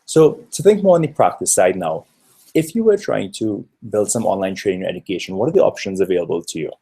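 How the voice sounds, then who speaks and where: A man's voice: low-pitched, expressive, quick pace, creaky, warm tone, slightly rough. A man in his thirties from Trinidad giving an earnest, explanatory conference presentation with occasional fillers. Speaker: researcher presenting a talk.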